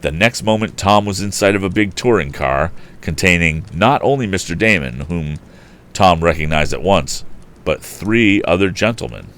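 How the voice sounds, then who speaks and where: Male storyteller, low voice, highly varied intonation, reading narrative prose aloud for a livestream. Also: expressive, moderate pace, fairly bright tone. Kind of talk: expressive audiobook-style story reading.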